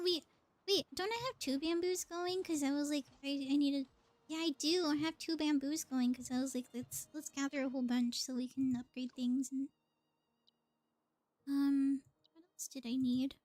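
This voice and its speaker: High-voiced woman chatting while gaming. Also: steady tone, normal speed, a little mellow, dry recording. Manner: chatty, thinking aloud, giggly. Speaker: live streamer playing a video game. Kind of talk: casual live game commentary.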